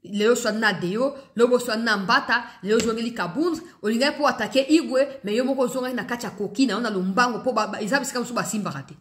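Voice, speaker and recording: A woman's voice: fairly high pitch, brisk, hardly any pauses, a bit bright. A woman with a Congolese accent hosts political news commentary, addressing viewers directly in an emphatic, exhorting way, repeating phrases for stress and switching between two languages mid-sentence. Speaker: host of an online political news commentary show.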